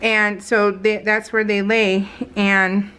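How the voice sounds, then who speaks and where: An American woman with a mid-range voice chats casually while she works on crafts. She rambles through everyday stories, circling back and voicing little quoted exchanges in an animated way. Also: medium pitch, slow pace, clear, resonant tone. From California, United States. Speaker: craft vlogger.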